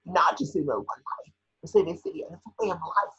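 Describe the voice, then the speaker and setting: A man's voice: on the high side, huge pitch swings, fast talker, fairly bright tone, muffled recording, voice pushed up, heavily acted. A man voicing a panicked, scheming male tycoon villain in a superhero script read, exclamatory and pleading. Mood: bargaining. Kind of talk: amateur table read of a superhero film script.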